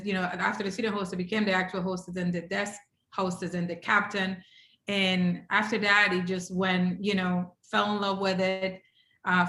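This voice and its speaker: A woman's voice: mid-range voice, a bit bright. A woman from Ecuador, a native Spanish speaker with an Ecuadorian accent, telling her story conversationally and animatedly as a podcast guest. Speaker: podcast guest, hotel housekeeping director. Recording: roomy, echoey sound.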